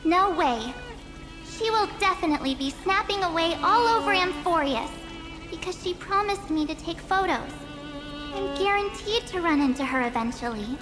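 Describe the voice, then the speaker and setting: High-pitched, perky young girl. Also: expressive, normal speed, over music. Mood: eager. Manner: perky, emphatic, informal. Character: upbeat, earnest, cheeky young girl, determined and loyal. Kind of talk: video game voice acting.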